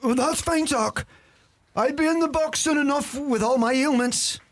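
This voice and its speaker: An actor playing a scrawny, idle old uncle who grumbles and boasts, with a Donegal Irish accent, in a radio play. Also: male voice, very high-pitched, moderate pace. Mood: complaining. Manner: grumbling, droll.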